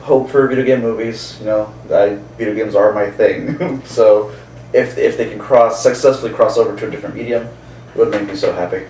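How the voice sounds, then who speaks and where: A man co-hosting a video channel, chatting casually with informal, halting phrasing. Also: fairly low pitch, steady tone, dark tone. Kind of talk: casual chat. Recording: roomy echo, distant mic, faint noise.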